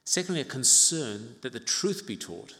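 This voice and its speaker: A man in his fifties from Uganda preaching an expository sermon as a pastor, in a measured, reflective way with pauses and gentle asides. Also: medium pitch, animated, creaky. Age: 50s. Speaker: pastor preaching to own congregation. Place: large reverberant church interior. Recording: reverberant hall.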